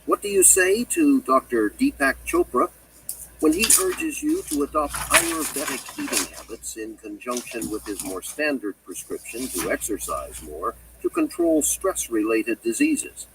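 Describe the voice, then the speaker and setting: A man reading a nonfiction audiobook in a measured, emphatic and articulate way. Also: mid-range voice, huge pitch swings, vocal fry, distant mic, background noise. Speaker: audiobook narrator. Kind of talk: scripted nonfiction audiobook narration.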